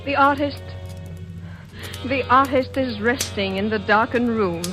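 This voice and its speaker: Unhappy, cowed wife, female actor, guarded and restrained, higher register, vintage suspense broadcast with strong music bed. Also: a little slow, close to an everyday voice. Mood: anguished, reluctant. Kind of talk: 1940s radio suspense acting. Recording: old radio broadcast with prominent music.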